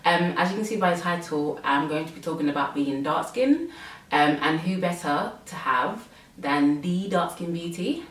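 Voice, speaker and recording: A woman's voice: lowish voice, animated, moderate pace, distant mic. A young woman from England, hosting a casual chat and talking with many fillers. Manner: chatty, many fillers, laughs often. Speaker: host. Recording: roomy, from off mic.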